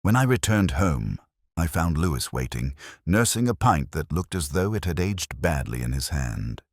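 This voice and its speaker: A man narrating a literary mystery in the first person, in a measured, melancholy and solemn way. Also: rumbling low, big pitch swings, no echo, clean studio sound.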